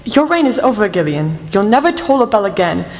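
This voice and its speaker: A woman's voice: medium pitch, very animated, a little fast. A woman playing a commanding, shrewd female detective in a radio play, speaking in a stern, formal way. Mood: stern accusing. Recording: long reverb, music underneath.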